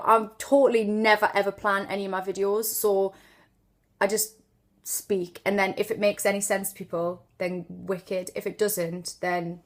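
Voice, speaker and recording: A woman from Newcastle with a Geordie accent, chatting through an unscripted accent tutorial and drawing out sample words to demonstrate them. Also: mid-range voice. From Newcastle upon Tyne, northeast England. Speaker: accent tutor and video host.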